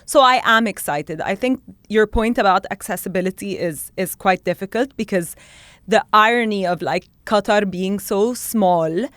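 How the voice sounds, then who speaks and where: A woman's voice: medium pitch, moderate pace, fairly bright tone, no room sound. A woman from Egypt giving informal, conversational analysis as an interview guest on sports. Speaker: guest sports commentator and content creator.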